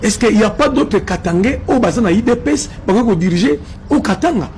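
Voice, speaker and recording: A man's voice: high voice, lively intonation, fairly quick, darkish tone, slight background noise. A man from Congo with a Congolese French accent giving impassioned political commentary, emphatic and repetitive, slipping in Lingala phrases.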